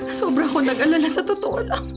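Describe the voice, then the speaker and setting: An actress playing a kindly, long-suffering, protective aunt in a Filipino radio drama, sounding concerned and soft-hearted. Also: female voice, high voice, expressive, fast, over music. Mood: relieved, grateful.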